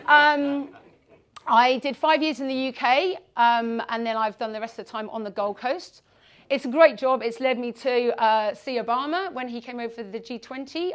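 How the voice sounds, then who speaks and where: Jokey English woman giving a talk. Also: a little high. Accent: West Country English. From England. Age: 40s. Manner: self-deprecating, jokey. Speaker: presenter. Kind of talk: presentation to an audience. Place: meeting room, indoors. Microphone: far camera.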